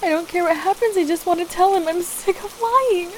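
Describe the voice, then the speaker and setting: A woman playing a distraught, trapped partner in an intimate audio roleplay, pleading and halting as she repeats herself. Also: very high-pitched. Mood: anguished. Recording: steady rain noise behind the voice. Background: rain.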